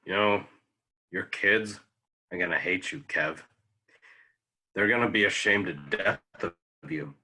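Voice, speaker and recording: A man performing a dramatic monologue as a bitter, anguished grown son, intense, halting and pleading. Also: deep voice, pushed and bright, exaggerated voice, low-quality recording. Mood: bitter, grave.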